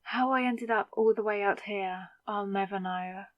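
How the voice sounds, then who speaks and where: A woman's voice: mid-range voice, normal speed, no room sound, natural voice. A woman acting in an audio roleplay as a confused, hungover new vampire, wary and sarcastic, muttering in exasperation to herself. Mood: weary, determined.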